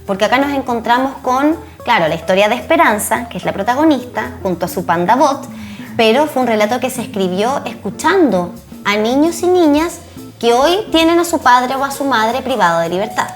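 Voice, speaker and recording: A woman's voice: fairly high pitch, animated, a little fast, soft background music. Chilean woman hosting a TV interview. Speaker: TV show host. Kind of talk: conversational television interviewing.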